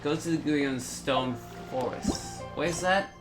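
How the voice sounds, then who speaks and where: A man's voice: on the high side, slow, music in the background. A man hosting a gaming video with casual commentary, stumbling over words and reading dialogue aloud.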